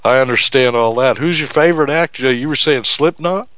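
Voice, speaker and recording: Middle-aged male co-host, friendly and chatty, smooth voice, muffled lo-fi sound. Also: medium pitch, fairly quick, a bit bright, no room sound. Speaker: radio co-host. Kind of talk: radio interview. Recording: dull lo-fi radio sound.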